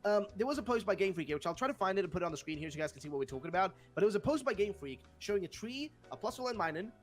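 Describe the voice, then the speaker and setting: A man's voice: sits high, expressive, racing, faint music behind. A young man with a Swedish accent, hosting a casual discussion and rambling with many fillers and restarts. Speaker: host.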